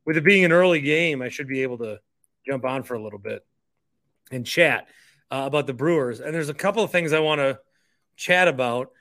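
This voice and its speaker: Bright-voiced American man, chatty podcast host. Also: medium pitch, fairly quick, no echo. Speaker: podcast host and stadium announcer. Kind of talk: casual solo podcast monologue.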